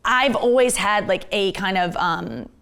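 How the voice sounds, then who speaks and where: A woman comedian with a husky, gravelly voice and strained vocal cords, chatting fast and candidly in an interview. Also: mid-range voice, a bit bright. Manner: rapid, candid, self-deprecating talk with many fillers. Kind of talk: casual fast-talking interview chat.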